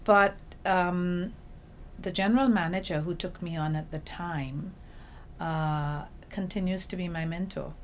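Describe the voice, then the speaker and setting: Older woman, Trinidadian accent, low voice, lively storyteller, slight background hiss. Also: unhurried. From Trinidad and Tobago. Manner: lively storytelling, acts out quoted remarks. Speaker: interviewee, UN information officer. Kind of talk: reflective interview answers. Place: small office indoors.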